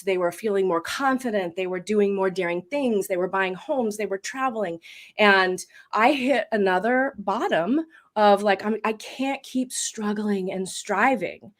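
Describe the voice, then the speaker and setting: A midlife woman with a big voice, telling her story as a voice coach in a casual interview, lively and laughing often. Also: medium pitch. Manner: expressive storyteller with bursts of laughter. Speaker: voice coach and guest.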